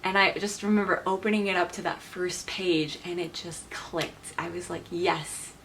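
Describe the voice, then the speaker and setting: A woman's voice: lowish voice, level tone, moderate pace, fairly bright tone, slight background noise, low-quality recording. A woman in her twenties talking casually to camera as a vlogger, chatty and enthusiastic, laughing often as she talks. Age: 20s. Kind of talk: casual vlog talk to camera.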